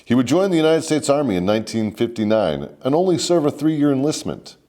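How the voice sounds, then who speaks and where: A man's voice: mid-range voice, very animated, fairly quick, mellow. A man hosting a scripted comedic military history story, mock-formal and sardonic with salty slang. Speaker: host and storyteller.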